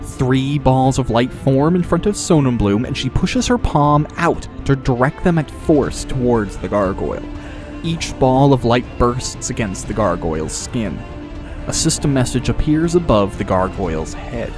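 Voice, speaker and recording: A man's voice: mid-range voice, expressive, a little slow. Male fantasy audiobook narrator over music. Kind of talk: scripted dramatic fantasy narration.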